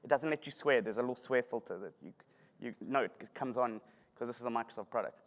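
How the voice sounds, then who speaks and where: A man's voice: mid-range voice, fast talker. A middle-aged man with a South African accent giving a self-deprecating, joking conference talk. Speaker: conference speaker. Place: conference hall. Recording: long hall reverb.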